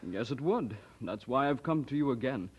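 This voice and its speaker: Radio drama part: deferential, concerned churchman, measured speech, average pitch, muffled vintage recording. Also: animated, breathy edge. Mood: worried, pleading. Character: polite, worried church representative, a sober gentleman.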